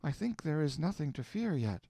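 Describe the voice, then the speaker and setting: A man voicing a gravely ill mother who speaks tenderly and wistfully of her daughter. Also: a little high, big pitch swings, normal speed, dark tone, no room sound, clean studio sound, voice pushed up, heavily acted.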